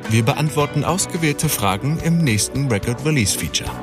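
A male announcer delivers scripted credits in a mid-pitched voice. His intonation is lively, and music plays clearly behind him. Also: normal speed. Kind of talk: scripted closing credits narration.

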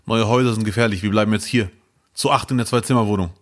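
A man's voice: lowish voice, steady tone, very fast. A man co-hosting a casual podcast chat, cracking dry ironic jokes. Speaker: podcast co-host.

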